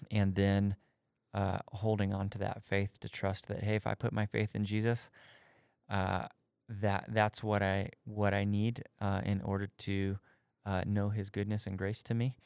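A man's voice: fairly low pitch, darkish tone, clear, resonant tone, no echo, studio quality. A man in his thirties on a podcast, talking candidly and thoughtfully, searching for his words as he explains his faith. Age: 30s. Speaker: podcast co-host answering faith questions. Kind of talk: casual conversation.

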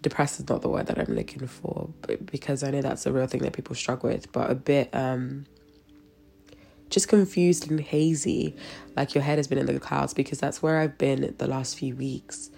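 A woman's voice: rumbling low, fairly even intonation, normal speed, vocal fry, mellow, a little hiss or hum. A woman with a cold-blocked, stuffy nose, giving a devotional talk with a spoken prayer in a chatty manner full of fillers and restarts. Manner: conversational, with frequent fillers and restarts.

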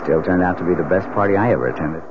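A man's voice: deep voice, very fast, close to an everyday voice. An actor playing an affable, mildly evasive newlywed businessman in 1970s radio drama style, casual and sometimes hesitant. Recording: muffled, noisy old radio broadcast.

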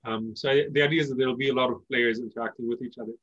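A man who is a native Persian speaker, answering interview questions as a company founder in a conversational, explanatory way with pauses mid-phrase. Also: medium pitch, fairly even intonation, a bit bright, no echo, dull audio.

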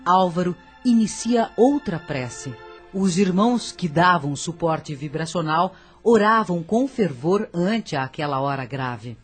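A woman's voice: fairly low pitch, expressive, slow pace. Solemn woman narrating over music. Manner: solemn, formal storytelling. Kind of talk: scripted radio drama narration.

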